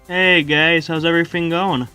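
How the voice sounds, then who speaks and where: A man's voice: fairly high pitch, steady tone, normal speed, pushed and bright, smooth, soft background music. A man voicing a goofy, boastful stoner echidna in an amateur comic dub, rambling in slang. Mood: carefree, oblivious. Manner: rambling, slangy, says lol aloud.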